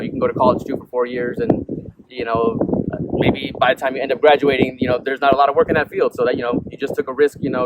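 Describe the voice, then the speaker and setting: A young man born in Peru, a musician being interviewed, who rambles casually and fills his talk with "you know" and "um". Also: mid-range voice. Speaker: musician guest. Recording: noisy background.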